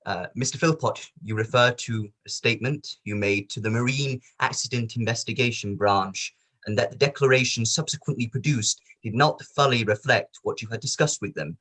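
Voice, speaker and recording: A man playing a formal, probing barrister who questions witnesses in a measured, courteous way, in a table read of a courtroom play. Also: medium pitch, a bit bright, natural voice.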